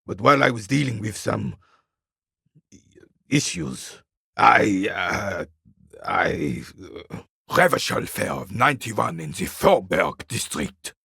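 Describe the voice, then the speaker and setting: An actor playing a proud, embittered old royalist war veteran in a video game, with a raspy voice and a haughty, cantankerous, formal way of speaking. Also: male voice, fairly low pitch, animated, slow, long pauses, bright, forceful tone, no room sound, studio quality, heavily acted. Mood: wistful, halting. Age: older. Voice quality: gravelly, raspy, husky. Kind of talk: dramatic video game character acting.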